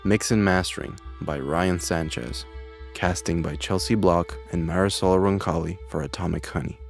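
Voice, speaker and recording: A man's voice: sits low, steady tone, moderate pace, creaky. A man reading scripted credits as the announcer of an audio production. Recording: music underneath.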